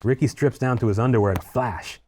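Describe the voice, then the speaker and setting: A man's voice: medium pitch, a little fast, a little mellow, slight background noise. A man reading stage directions aloud as narrator at a screenplay table read.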